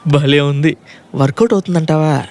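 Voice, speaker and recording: A lovesick, gullible college lad played by a man in a comedy audio drama, his voice on the high side. He is excitable and grumbles in slangy banter, with music clearly behind him. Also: normal speed, close to an everyday voice. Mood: unsure. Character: frustrated, lovesick young male college student, excitable and gullible.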